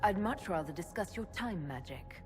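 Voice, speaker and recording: Game heroine: actress as bold elven envoy, lowish voice, dry and composed, reverberant hall with music. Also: female voice, lively intonation, normal speed, natural voice. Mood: cool, diplomatic. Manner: composed, sardonic. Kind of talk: dramatic game cutscene acting.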